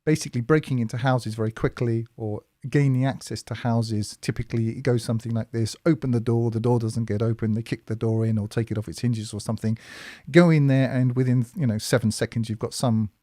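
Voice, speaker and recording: A man delivers a thoughtful solo commentary, pausing often with "um" and "uh" and circling back to rephrase. His voice is warm and relaxed in tone, sitting at a middling pitch. The sound is dry and close, with no room around it. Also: no room sound. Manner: measured, hesitant, many fillers and restarts. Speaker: commentary channel host. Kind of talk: spoken commentary monologue.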